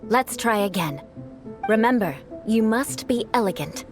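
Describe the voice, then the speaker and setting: Poised, high-voiced young noblewoman knight. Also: lively intonation. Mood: patient, correcting. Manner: poised, formal, measured instruction. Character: elegant, exacting young noblewoman knight acting as mentor. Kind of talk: video game voice acting. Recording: studio voice over game music.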